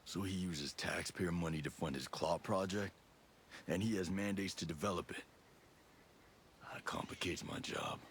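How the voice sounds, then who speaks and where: An actor playing a gruff, resolute special forces soldier in a video game, blunt and matter-of-fact. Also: male voice, low-pitched, fairly even intonation, normal speed, a bit bright, slight background noise. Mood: steady, probing. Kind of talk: cinematic game acting.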